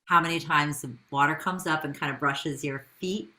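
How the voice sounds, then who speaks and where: Low-voiced woman telling her business story. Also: normal speed, sharp tone. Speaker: business founder being interviewed. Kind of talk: conversational interview storytelling.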